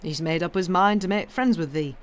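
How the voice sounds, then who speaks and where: A narrator voicing a surly, blunt old gardener in a storybook reading, with a gruff, grumbling manner and noise behind the voice. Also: medium pitch, a little fast, close to an everyday voice.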